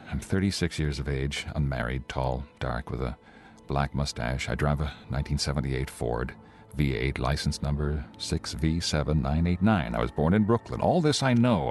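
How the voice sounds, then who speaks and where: A man acting a haunted, anxious traveller in his thirties in an old-time radio play, speaking urgently and haltingly. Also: extremely low pitch, vocal fry, exaggerated voice. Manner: urgent, halting, repeating himself. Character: haunted 36-year-old male traveller, anxious, insisting he is sane. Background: music.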